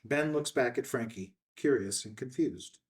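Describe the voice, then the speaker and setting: A man's voice: mid-range voice, normal speed, recorded from a distance. A man reading stage directions as narrator at a screenplay table read.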